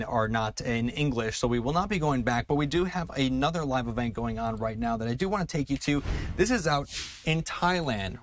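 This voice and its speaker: A man's voice: medium pitch, slight background noise. A man hosting a live broadcast, presenting in a news style and handing over to events.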